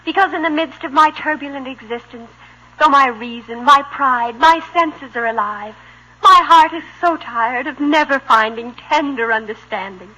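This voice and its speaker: A woman's voice: high voice, normal speed, pushed and bright, low-quality recording. A woman playing a dreamy, stage-struck teenage American girl in a 1950s radio sitcom, theatrical and over-earnest. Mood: wistful, melodramatic.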